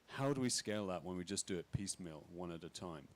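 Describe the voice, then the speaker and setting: A man speaking on a conference panel, halting with many fillers and restarts. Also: deep voice, expressive. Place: conference pavilion.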